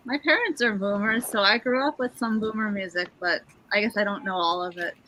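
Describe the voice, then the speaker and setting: Thirties woman on a drawing stream, asks lots of questions, giggly, really bright sharp voice. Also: mid-range voice, a little fast, slight background noise, low-quality recording. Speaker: guest artist. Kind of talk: casual chat while drawing.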